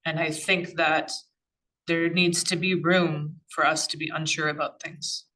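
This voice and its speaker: A woman's voice: sits low, flat delivery, a little slow, bright, forceful tone, distant mic, slight background noise, low-quality recording. A woman in a workshop discussion, speaking thoughtfully with frequent restarts and "you know"s. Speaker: workshop participant. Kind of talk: reflective group discussion.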